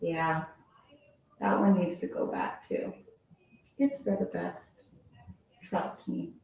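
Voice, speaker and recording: A woman teacher reading a children's picture book aloud in a playful, expressive storytelling manner, adding little sound effects. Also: on the low side, slow pace, vocal fry, a little hiss or hum. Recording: very echoey room from far off.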